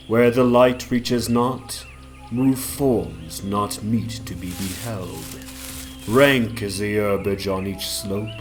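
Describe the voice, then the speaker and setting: A man with a fairly low voice narrates a gothic tale in a slow, solemn way. Each phrase is measured and literary, with music playing behind him. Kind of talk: dramatic reading of a gothic tale.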